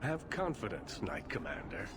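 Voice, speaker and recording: A sardonic elven mage leader. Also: male voice, lowish voice, very animated, normal speed, background noise. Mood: urgent. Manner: dry, cutting sarcasm.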